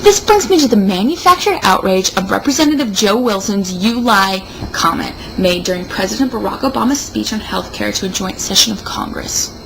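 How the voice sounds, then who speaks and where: A tipsy woman reading an opinion article aloud in a mock-serious, emphatic, drawn-out way, with wry asides. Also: mid-range voice, animated, creaky, a bit bright, a little hiss or hum, rough recording. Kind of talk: theatrical read-aloud with mocking asides.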